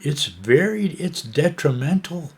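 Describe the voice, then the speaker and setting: A man's voice: fairly high pitch, big pitch swings, slow pace, slightly rough. A man from the United States giving a casual, rambling opinion monologue, hesitant and full of fillers and restarts.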